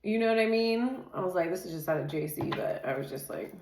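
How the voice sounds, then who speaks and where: A woman with a somewhat low, loud voice chats her way through a tarot reading, filling every pause with "like" and "dude." The room is echoey, and a faint hiss runs underneath. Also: lively intonation. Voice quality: says she has a naturally loud voice. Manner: chatty, loose, filler-heavy, says "dude" and "like" constantly. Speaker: tarot reader. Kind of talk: casual tarot reading and rambling chat.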